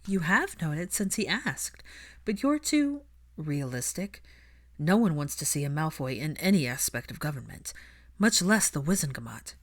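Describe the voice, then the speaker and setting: A woman's voice: low voice, sing-song, moderate pace, full character voice. A woman voicing a determined, caring heroine in an audiobook, earnest and insistent as she persuades.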